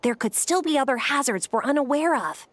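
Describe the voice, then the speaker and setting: An actress playing an earnest, cautious young woman who organizes an arena in a video game, expressive and friendly. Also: sits high, fairly quick, a little hiss or hum. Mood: hesitant. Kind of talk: video game dialogue acting.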